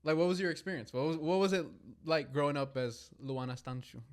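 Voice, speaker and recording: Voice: mid-range voice, a little fast. A podcast host asking casual questions, friendly and encouraging. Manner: friendly, encouraging, laughs often.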